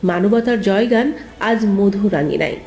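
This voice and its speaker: A woman narrating an animated story in a scripted storybook style, with a roomy echo. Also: medium pitch, a little slow, faint music behind.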